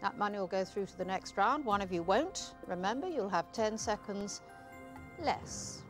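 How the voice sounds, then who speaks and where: A woman's voice: mid-range voice, animated, normal speed, fairly bright tone, faint music behind. A woman hosting a television quiz in a studio, reading questions and rules in a curt, mocking way. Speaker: quiz show host.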